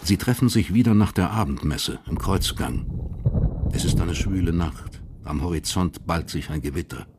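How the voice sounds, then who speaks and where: An actor as the detached narrator of a historical crime radio drama, measured and factual. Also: male voice, low-pitched, background noise.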